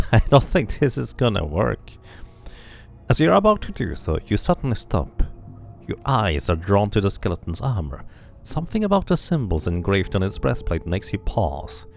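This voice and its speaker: A man's voice: on the low side, huge pitch swings, moderate pace, creaky, faint music behind. A man streaming a video game, reading its text aloud like a narrator and muttering casual asides to himself.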